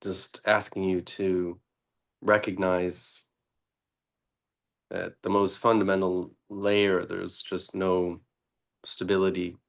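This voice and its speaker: Very slow, low-voiced man guiding meditation. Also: little pitch movement, gravelly. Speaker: meditation teacher. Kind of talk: unscripted guided meditation.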